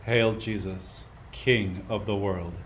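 Guy as a commanding warrior king in amateur radio play, deepish, stately, slight hiss of noise. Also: fairly even intonation, low-quality recording. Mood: reverent. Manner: measured, declamatory.

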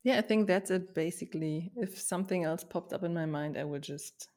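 Low-voiced woman, chatting reflectively. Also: a little fast, mellow. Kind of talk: casual personal chat.

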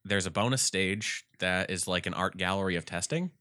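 A deep-voiced man talks games in a rambling, animated way, his nose stuffed up. His tone is bright and crisp. The recording is dry and close. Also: normal speed, no echo. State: congested. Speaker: podcast co-host. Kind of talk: casual gaming chat.

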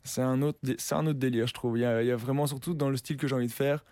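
Young musician dude rambling casually, warm low-key tone, dry close sound. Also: male voice, rapid-fire, dry recording. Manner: relaxed slangy talk with many fillers and restarts. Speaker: musician guest. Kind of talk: casual interview chat.

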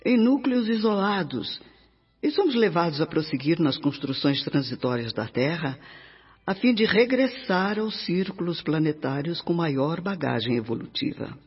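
Husky, solemn Brazilian spirit teacher. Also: male voice, fairly high pitch, dull audio, full character voice. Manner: didactic, oratorical, deliberate with dramatic pauses. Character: solemn, earnest male spirit instructor preaching moral lessons. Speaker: radio drama actor. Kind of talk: radio drama monologue, sermon-like lecture. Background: music.

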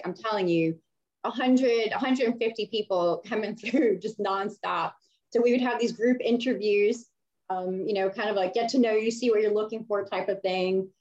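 A woman's voice: medium pitch, darkish tone. A woman from Miami, an HR leader, telling her career story in a chatty interview with many fillers. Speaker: HR leader, guest. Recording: slightly dull lo-fi audio.

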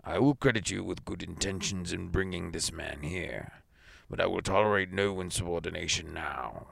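A man's voice: sits low, moderate pace, vocal fry, a bit bright, no echo, voice pushed down, full character voice. A man voicing a stern, pedantic elderly male astronomer who speaks formally and with indignation. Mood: indignant.

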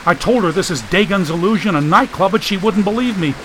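A man's voice: high-pitched, lively intonation, a little fast, a bit bright, slight background noise, voice pushed up, low-quality recording. A man voicing an anxious, polite young man seeking shelter, speaking urgently in an audiobook. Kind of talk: audiobook character voice.

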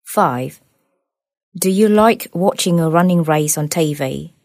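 Mellow woman reading exam questions. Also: low voice, slow pace, no room sound, clean studio sound. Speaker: examiner.

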